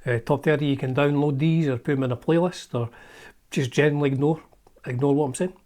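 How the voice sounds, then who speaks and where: A middle-aged Scottish man chats casually as a show's host, wandering from thought to thought with plenty of ums and false starts. His voice sits a little higher than most men's. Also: quick pace, a little mellow. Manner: rambling and chatty, with frequent ums and restarts. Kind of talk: casual chat, leafing through an old magazine.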